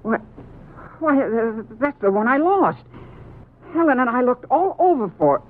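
Frantic, indignant little husband, extremely high voice, stammering, muffled vintage radio recording. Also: male voice, sing-song, measured pace, slight background noise, heavily acted. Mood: flustered. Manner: stammering, pleading, flustered. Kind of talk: 1950s radio drama acting.

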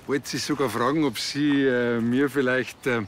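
A man's voice: medium pitch, fairly even intonation, normal speed, slight background noise, lightly acted. A man playing a grumbling, cheeky country policeman in a TV comedy. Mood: sheepish, probing. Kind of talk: TV comedy acting.

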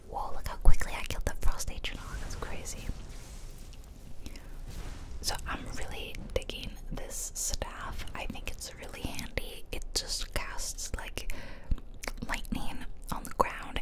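A woman whispering casual, playful game commentary in a breathy ASMR style, close to the microphone. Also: poor audio. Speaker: gaming video host. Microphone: close ear-to-ear ASMR microphone.

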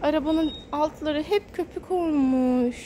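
Give the voice of a woman with a high pitch, talking casually to a small child as she films outdoors. She is encouraging and repeats words in a sing-song way. Faint car wash noise sits behind her, picked up by a handheld phone. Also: unhurried, dark tone. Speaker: mother filming a family vlog. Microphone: handheld phone camera, speaker behind it.

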